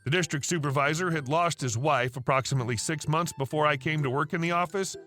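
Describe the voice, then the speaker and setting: Guy narrating reddit stories, high bright voice, soft music in the back. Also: quick pace. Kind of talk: scripted story narration.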